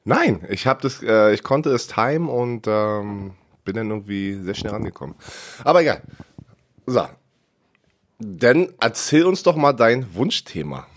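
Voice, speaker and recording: A man co-hosting a podcast, sick and congested, talking casual sports in animated bursts with stammering restarts. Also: on the low side, measured pace. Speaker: podcast co-host.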